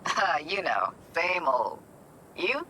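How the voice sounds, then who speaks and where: An actress playing a wry, guarded young American street techie in a video game, with slangy, clipped talk. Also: female voice, fairly low pitch, big pitch swings, takes it slowly, pushed and bright, slight background noise, heavily acted. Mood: teasing. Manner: slangy, clipped, teasing, breaking into breathy asides. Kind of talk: video game dialogue acting.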